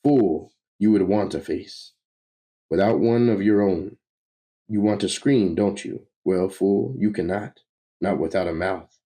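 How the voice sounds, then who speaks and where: Guy as an icy comic book overlord, low mellow voice, imperious and menacing. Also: unhurried. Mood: cruel. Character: cold, commanding, menacing unseen cosmic villain. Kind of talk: comic book read-aloud.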